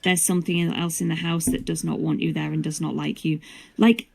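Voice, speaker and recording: A woman with a gravelly edge and a slightly low pitch talks casually as a guest on a livestream. She is playful and leans emphatically on her points. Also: little pitch movement, a little fast, a little mellow. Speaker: voice actor, interview guest.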